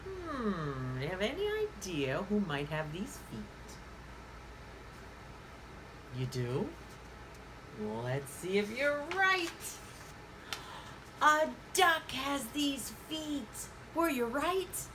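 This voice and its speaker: A woman leads story time for young children with a very animated, sing-song delivery. She takes her time, putting questions to the listeners and pausing as if they reply. There is clear background noise on the recording. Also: fairly high pitch. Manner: sing-song, asks questions and pauses as if for answers. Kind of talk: interactive picture-book reading for young children.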